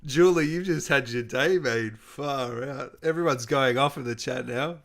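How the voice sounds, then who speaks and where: A man's voice: medium pitch, measured pace, fairly bright tone. A man hosting a casual livestream interview. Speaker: interview host.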